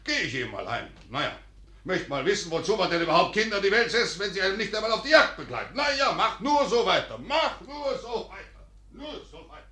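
A man's voice: sits high, big pitch swings, a little fast, pushed and bright, echoey room, exaggerated voice. An actor playing a grumpy, domineering, middle-aged landowner father who blusters and sulks in a German radio play. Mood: grumbling. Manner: blustering, muttering, sulky.

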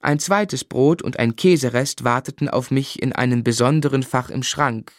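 Male actor as reflective first-person narrator for radio drama; mid-pitched, measured, literary, very dry studio sound. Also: no room sound, natural voice. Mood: sombre, reflective. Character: grown man narrating his unhappy boyhood, reflective, literary.

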